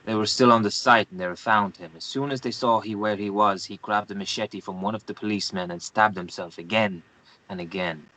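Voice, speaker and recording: A man's voice: fairly low pitch, steady tone, brisk, bright, forceful tone, poor audio. A man playing a curt, grave Guatemalan newspaperman in his thirties at a screenplay table read. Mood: grim, haunted.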